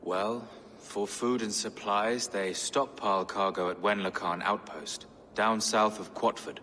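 An actor playing a pious, worried medieval bishop in a video game. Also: male voice, fairly low pitch, fairly even intonation, unhurried, pushed and bright, big-room sound, a little hiss or hum. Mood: informative. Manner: weary, pious, informative. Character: worried portly medieval bishop, kindly ally. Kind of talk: video game cutscene acting.

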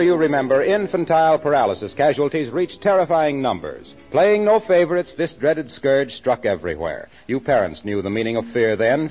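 A man's voice: mid-range voice, slightly rough. A male American announcer reading a formal, dramatic script in 1940s radio style. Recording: muffled 1940s radio with music.

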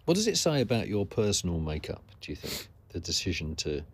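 A man hosting a podcast, asking measured, probing interview questions. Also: sits low, sing-song, husky.

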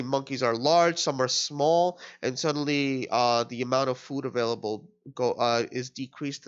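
A man in his thirties working through an exam question as a tutor, sounding nasal from a cold and thinking aloud with fillers and restarts. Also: medium pitch. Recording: dull lo-fi screen recording.

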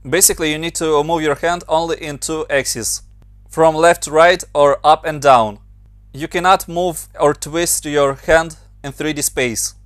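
Ukrainian-accented male tutor, a bit higher voice, very dry close mic, patient step-by-step walkthrough. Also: fairly even intonation, measured pace, a bit bright, no echo. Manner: plain, step-by-step instructions with slightly non-native grammar. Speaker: motion designer and tutorial maker. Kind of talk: screen-recorded software tutorial.

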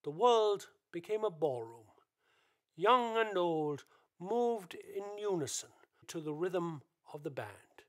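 A man narrating a scripted radio play as a deliberate, dramatic storyteller. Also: high-pitched, expressive.

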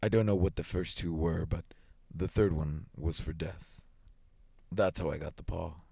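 A man voicing a grave, gruff middle-aged soldier in a story, with a quiet, solemn, dogged manner. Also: deep voice, moderate pace, dry recording.

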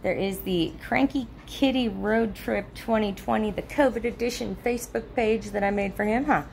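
A woman's voice: mid-range voice, moderate pace, gravelly, darkish tone, slight background noise. A woman in her thirties chatting casually to her followers from a motel room, rambling with many ums and restarts, recorded on a phone at arm's length. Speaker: vlogger. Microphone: phone held at arm's length.

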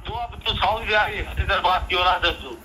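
A man's voice: high voice, fairly even intonation, normal speed. A man with a Rajasthani accent arguing heatedly on the phone, insistent, repetitive and threatening. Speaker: retired soldier on a phone call. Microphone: telephone. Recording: noisy phone call recording.

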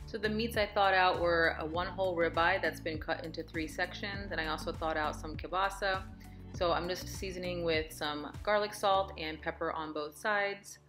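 A woman's voice: fairly low pitch, steady tone, normal speed, a bit bright, faint music behind. A woman in her thirties vlogging at home, talking through her workouts and meal prep in an upbeat, encouraging, chatty way. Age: 30s. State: out of breath after a workout. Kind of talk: casual vlog narration. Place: home living room and kitchen.

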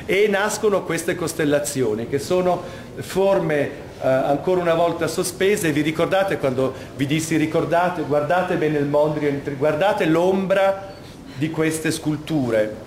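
A man's voice: fairly high pitch, unhurried, darkish tone. Male curator, middle-aged. Emphatic guided-tour delivery in a reverberant, noisy gallery. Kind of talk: guided art talk to visitors. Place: large vaulted museum gallery, indoors. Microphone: camera microphone a few steps away. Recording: echoey gallery hall, clear background noise.

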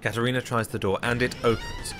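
A man's voice: mid-range voice, huge pitch swings, quick pace. A man reading scripted narration for an audio drama. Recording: faint background noise.

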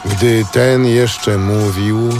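A man narrates a biblical radio drama with solemn care. His voice is low and slow, over clear music. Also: steady tone. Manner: solemn, measured.

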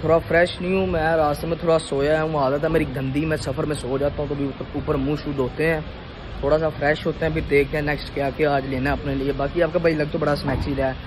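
A man's voice: on the high side, little pitch movement, fast, background noise. A young man vlogging to a phone camera in a shopping mall, casual, joking and a little boastful. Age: young adult. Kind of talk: casual vlog chat to camera. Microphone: phone camera at arm's length.

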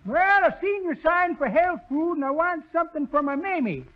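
Very high-voiced old rustic grandpa. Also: male voice, animated. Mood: folksy, cackling. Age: older. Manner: rustic, folksy, slow. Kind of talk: 1930s radio comedy acting. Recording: muffled 1930s radio recording.

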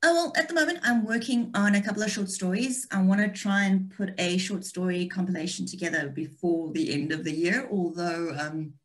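A woman's voice: on the low side, brisk, hardly any pauses. A woman, chatting casually and playfully as an interview guest over a video call from a room at home. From South Africa and Austria. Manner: wry, playful. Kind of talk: casual chat.

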